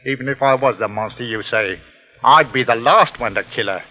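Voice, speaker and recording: An actor playing a sly, scheming middle-aged working-class English uncle in a 1940s radio drama, oily and mock-friendly. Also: male voice, medium pitch, fairly quick, natural voice. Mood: mock-offended, scheming. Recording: dull old radio recording, reverberant.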